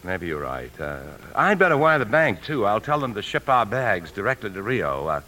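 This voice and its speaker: Deep-voiced, evasive 1950s radio husband. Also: male voice, very animated, normal speed, bright, forceful tone. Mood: evasive, hurried. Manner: smooth-talking, placating, guarded. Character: evasive anxious husband on the run, confessional narrator. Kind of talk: 1950s radio drama acting and first-person narration. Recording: 1950s radio broadcast, faint music.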